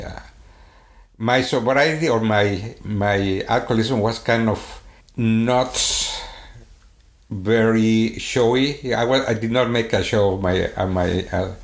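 A man with a Swedish accent in a casual podcast conversation, talking in a halting way with many restarts and fillers. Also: fairly low pitch. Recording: echoey room.

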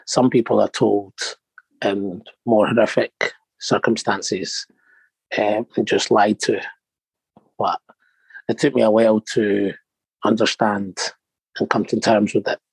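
A man in his thirties, born in Morocco and raised in Scotland, speaking softly and hesitantly as an interview guest. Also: fairly low pitch, level tone, takes it slowly, vocal fry, long pauses, slightly rough, no echo, poor audio. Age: 30s. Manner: soft-spoken, hesitant with many ums. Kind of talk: personal interview.

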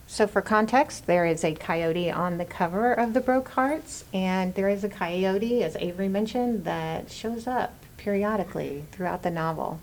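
A woman's voice: medium pitch, normal speed, few pauses, a little mellow, a little hiss or hum, low-quality recording. A woman hosting a podcast, partly reading from a script and partly chatting. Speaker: podcast host, university program director.

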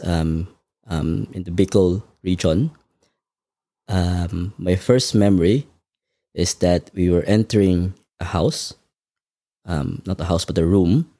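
A man from the Philippines, answering interview questions thoughtfully and with frequent pauses. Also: low-pitched, dark tone, dry recording, studio quality. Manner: measured, thoughtful, frequent ums. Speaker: podcast guest. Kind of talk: conversational interview.

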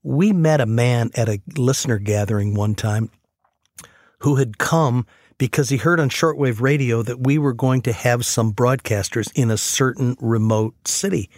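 A man's voice: mid-range voice, moderate pace, darkish tone, no echo. Earnest man, dry close sound. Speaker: radio ministry host. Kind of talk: conversational storytelling on a faith talk show.

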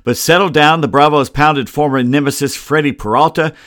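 A man's voice: a little high, steady tone, a little fast, a bit bright, no echo. An American man presents a casual sports podcast into a close computer mic, with a very dry sound. He grumbles wryly and wanders into asides as he talks through the sports news. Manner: grumbling, wry, rambling asides. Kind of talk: casual solo sports commentary. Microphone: close computer microphone.

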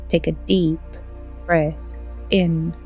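A woman yoga teacher leading a guided relaxation in a soothing, unhurried, gently instructing way. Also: low-pitched, flat delivery, husky, faint music behind. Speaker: yoga teacher and podcast host. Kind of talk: guided relaxation meditation narration.

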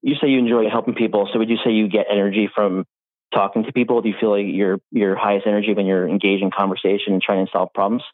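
A man hosting a podcast, chatting in a relaxed way with many "like" fillers. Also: lowish voice, fast, no echo. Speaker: podcast host. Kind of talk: casual interview chat. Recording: tinny phone-like line.

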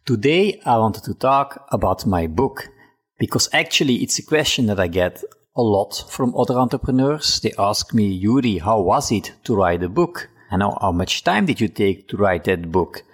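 A man, Dutch by mother tongue, talks through a personal story on his podcast. His voice sits fairly low with a husky, slightly breathy edge. He speaks loosely and conversationally, with fillers and restarts. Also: moderate pace. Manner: relaxed, chatty, frequent fillers and restarts. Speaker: podcast host. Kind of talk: casual personal storytelling monologue.

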